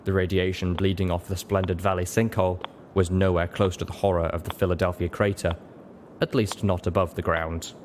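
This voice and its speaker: A man's voice: sits low, flat delivery, fairly quick, a little mellow, a little hiss or hum. A man narrating a story in a dramatic audiobook style, as its reader.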